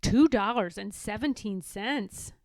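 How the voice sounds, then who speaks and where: A woman's voice: medium pitch, takes it slowly, dry recording. A woman voicing an eager, earnest young badger girl in a children's storybook, plain-spoken and insistent. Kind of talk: children's storybook read-aloud.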